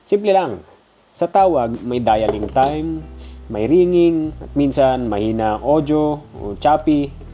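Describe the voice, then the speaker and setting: A man's voice: a little high, expressive, takes it slowly, dark tone, highs cut off, soft background music. A Filipino-accented man with a stuffy nose, giving a casual, conversational gadget review. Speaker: product reviewer.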